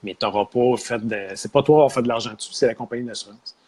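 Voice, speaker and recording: A man's voice: mid-range voice, fast talker, darkish tone, husky. A man with a Québécois French accent, co-hosting a casual discussion, hesitant and searching for words.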